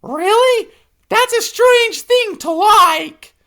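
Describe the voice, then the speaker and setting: A man voicing a bossy female hippopotamus in a shrill, shouted, very high voice. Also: slow, full character voice. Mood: angry shrill. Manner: shouting, very high squeaky put-on voice.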